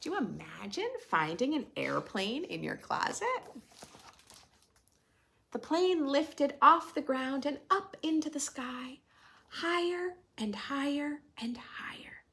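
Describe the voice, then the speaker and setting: Female children's story reader, very animated intonation, creaky touches, expressive narration mixed with conversational asides to little listeners. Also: high-pitched, slow. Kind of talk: read-aloud storytelling for young children with chatty asides.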